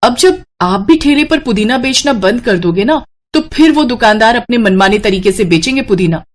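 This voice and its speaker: Woman acting a concerned, expressive village wife. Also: on the high side, normal speed. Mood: concerned, insistent. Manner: reasoning, insistent. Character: concerned village wife, earnestly advising husband. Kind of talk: Hindi moral story voice acting.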